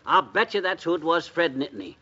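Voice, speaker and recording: An actor playing a hapless, boastful middle-aged husband in 1950s radio comedy, folksy and hesitant. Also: male voice, fairly high pitch, lively intonation, brisk, sharp tone. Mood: excited. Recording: muffled vintage radio recording.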